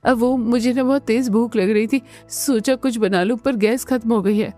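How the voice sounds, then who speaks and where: A woman voicing a gentle, timid young daughter-in-law in a family cartoon, earnest and hesitant. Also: on the high side, fairly even intonation, normal speed, faint music behind, lightly acted. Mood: mildly fretful. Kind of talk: Hindi family cartoon dubbing.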